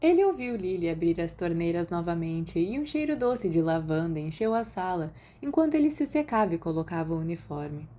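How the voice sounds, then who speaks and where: A woman's voice: on the low side, sing-song, brisk, hardly any pauses, dark tone. A woman with a Brazilian Portuguese accent reading scripted fiction as an audiobook narrator.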